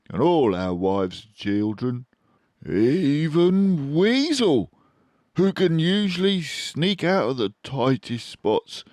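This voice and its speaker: Guy doing a worked up grumpy badger for a kids book, slow drawn out words, swoops around a lot. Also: mid-range voice, no echo, clean studio sound, voice pushed up. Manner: excitable, despairing, drawn-out exclamations. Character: frantic, starving, grumbling adult male badger. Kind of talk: children's storybook character voice.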